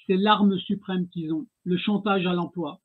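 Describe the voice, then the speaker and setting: A man's voice: high-pitched, steady tone, unhurried. A man hosting an interview, giving blunt explanations and frequent agreeing interjections. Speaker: interview host. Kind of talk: conversational interview. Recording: husky voice over a tinny phone-like line.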